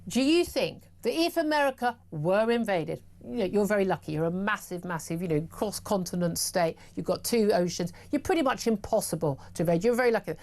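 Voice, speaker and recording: A woman's voice: mid-range voice, lively intonation, a little fast, hardly any pauses, darkish tone. A woman presenting a heated TV panel debate, combative and exasperated, often cutting in.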